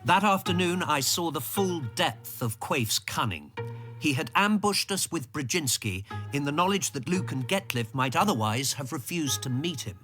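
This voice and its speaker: An actor playing an observant British civil servant, narrating his memories in a radio drama in a measured storytelling way. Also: fairly high pitch, expressive, fairly bright tone, faint music behind. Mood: reflective, wry.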